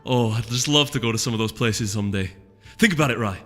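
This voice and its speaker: A man's voice: medium pitch, quick pace, fairly bright tone, lightly acted. A man performs a young female storybook pony with a Scottish accent. She is bubbly, adventurous and eager to chatter, set in long reverb over music. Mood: excited, gushing.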